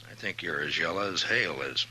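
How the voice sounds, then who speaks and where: US marshal in old radio western. American, deep and piercingly forceful, coolly menacing, goads his opponent. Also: brisk, slight background noise. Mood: goading. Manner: blunt, commanding, coolly goading. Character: tough, unhurried frontier marshal, coolly menacing.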